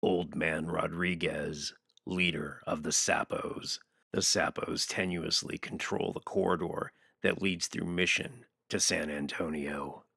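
A man's voice: low-pitched, steady tone, measured pace, gravelly, bright, forceful tone, husky, no room sound, clean studio sound. A man reading scripted narration for a motion comic as its narrator.

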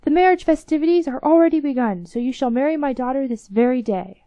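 An excitable fairy-tale king who cries out his words, read aloud by a woman. The voice is mellow and dry, pitched up for him. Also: normal speed, no echo, natural voice. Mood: delighted.

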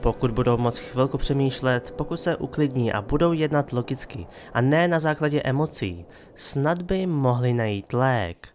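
A man's voice: mid-range voice, lively intonation, a little mellow. A man hosting and narrating a scripted, dramatic fantasy story. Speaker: narrator and host. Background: faint background music.

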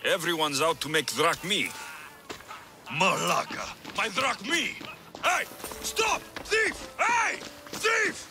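A man voicing a gruff, sardonic mercenary warrior in a video game. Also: high voice, big pitch swings, slow pace, background noise, heavily acted. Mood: angry shouting. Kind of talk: video game cutscene acting. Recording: game music behind the voice.

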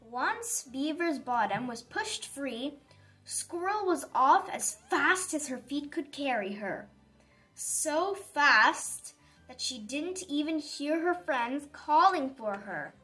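A woman reading a children's story aloud in an expressive, dramatic manner for young listeners. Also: sits high, slow pace. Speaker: storybook reader. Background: background music.

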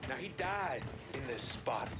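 A man's voice: medium pitch, big pitch swings, measured pace, very noisy. An actor playing a haughty, hot-tempered, bigoted middle-aged Southern man in a video game, with an emphatic, oratorical delivery. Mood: solemn, rousing. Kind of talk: dramatic video game acting. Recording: music behind the voice.

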